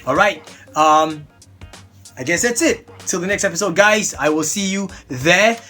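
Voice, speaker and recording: A man's voice: high-pitched, expressive, unhurried, bright, forceful tone, soft background music. A young man, hosting a casual product review vlog, chatty and upbeat with lots of repeated "really, really", filmed seated at a desk in a small room. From Singapore. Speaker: host of a toy blaster review channel.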